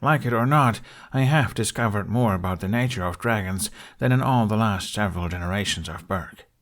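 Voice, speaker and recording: A man's voice: fairly low pitch, fairly quick, fairly bright tone, natural voice. A man voicing a sarcastic, stubborn young human who travels with a dragon, in a wry, defensive manner for an audiobook. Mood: earnest, formal.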